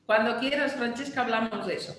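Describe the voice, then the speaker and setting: A woman's voice: on the high side, brisk, fairly bright tone. A woman with a Spain Spanish accent, a restoration project director, answering questions in an online talk and hesitating often. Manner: hesitant, many fillers and trailing repeats. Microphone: video call. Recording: very echoey room over video call.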